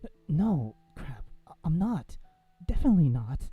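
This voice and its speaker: A man voicing a flustered teenage schoolboy, meek and halting, in a visual novel. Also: fairly high pitch, very animated, breathy edge, no echo, phone-quality sound, faint music behind, full character voice. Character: flustered, hesitant teenage schoolboy friend Evan.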